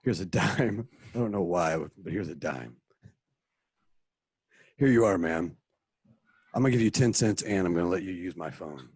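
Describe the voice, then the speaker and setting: A man voicing a stern masked crimefighter in an amateur radio play, gravelly and deliberate. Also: low-pitched, animated, a little mellow, no room sound, heavily acted. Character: stern masked male crimefighter acting as prosecutor.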